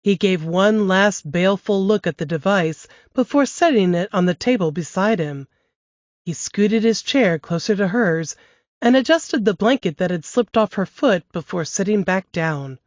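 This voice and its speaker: A woman hosting a podcast who reads a novel excerpt aloud in a measured, expressive storytelling manner. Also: medium pitch, no echo. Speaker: podcast host reading an excerpt. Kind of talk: scripted narration of a novel excerpt. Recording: dry, dull lo-fi podcast audio.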